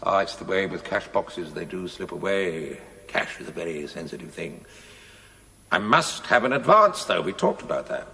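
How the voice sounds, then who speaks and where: Sly, put-upon stonemason, sardonic stage delivery, highly animated pitch, reverberant hall with slight noise. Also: male voice, moderate pace, creaky, full character voice. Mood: wheedling. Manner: sardonic, mock-deferential, theatrical phrasing. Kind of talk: theatrical television play dialogue. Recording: echoey hall, faint noise, 1980s TV recording.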